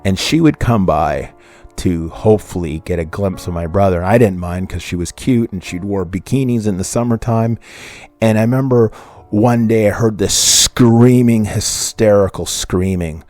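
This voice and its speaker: A man's voice: lowish voice, normal speed, darkish tone, soft background music. A man in his fifties from Canada, a podcast host telling a personal true crime story from his childhood in a rambling way, with restarts and self-corrections. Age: 50s.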